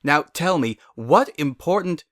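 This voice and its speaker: A man voicing a regal, formal princess pony, loud and declamatory, in a dramatic story reading. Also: fairly high pitch, expressive, measured pace, pitched above the performer's own voice. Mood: imperious. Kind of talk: dramatic fan fiction reading.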